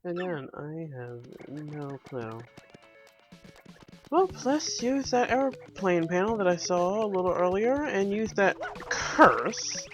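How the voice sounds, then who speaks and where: Lively offhand man playing a game. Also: sits high, takes it slowly, faint music behind. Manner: offhand, wry. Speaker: video game player. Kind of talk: casual game commentary and reading aloud.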